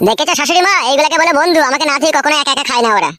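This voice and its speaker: High-voiced gullible village son-in-law acted out. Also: rapid-fire, bright, forceful tone, dry recording. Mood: eager. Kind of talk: Bengali comedy cartoon dub.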